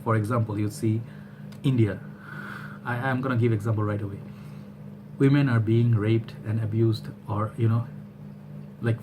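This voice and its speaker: A man's voice: mid-range voice, noisy background. A man in his thirties from Bangladesh, speaking in a casual, rambling opinion monologue to a webcam in a small room at home, full of fillers and restarts. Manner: animated, gesturing hands, rambling with fillers and restarts. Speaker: vlogger.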